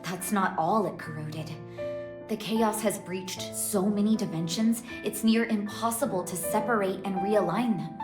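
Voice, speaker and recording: A woman's voice: mid-range voice, close to an everyday voice. An actress voicing a young-looking priestess of a dragon god, a long-lost doting mother, in an English game dub. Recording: echoing voice under prominent music. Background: guitar and instrumental music.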